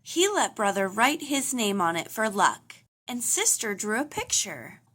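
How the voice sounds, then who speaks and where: Animated, bright-voiced woman reading a storybook. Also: mid-range voice, unhurried. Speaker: storybook reader. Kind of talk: expressive children's read-aloud narration.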